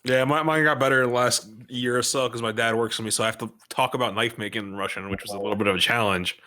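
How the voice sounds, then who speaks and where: Bright-voiced male co-host. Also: medium pitch, steady tone. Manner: quick, laughing, explaining. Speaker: podcast co-host and knifemaker. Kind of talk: casual interview chat.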